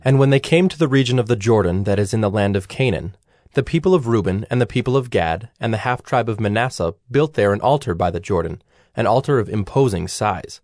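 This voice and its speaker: A man giving a measured, formal narration of scripture as the narrator of a dramatized audio production. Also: lowish voice, animated, darkish tone, dry recording, studio quality. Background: instrumental music with plucked strings.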